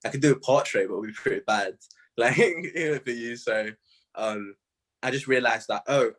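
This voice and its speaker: A young British man in a casual interview, telling stories in slangy, animated speech full of quoted asides. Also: mid-range voice, moderate pace. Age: young adult. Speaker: music artist being interviewed.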